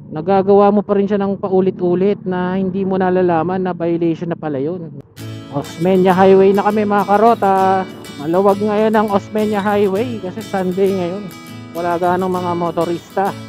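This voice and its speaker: A man's voice: high voice, fairly even intonation, normal speed, mellow, faint music behind. A man with a Manila Filipino accent chatting casually and informally to his viewers as a motorcycle vlogger. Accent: Filipino, Manila area. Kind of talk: casual vlog chat to viewers. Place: outdoors on a motorcycle in city streets. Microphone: helmet intercom linked to action camera. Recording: muffled helmet intercom mic, traffic noise. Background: faint vehicle and street noise.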